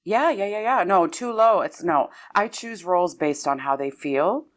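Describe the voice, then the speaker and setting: A woman's voice: on the low side, lively intonation, normal speed. A Lebanon-born woman, an opera singer whose first language is Arabic, talking thoughtfully in an interview and often restarting her sentences. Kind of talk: conversational interview.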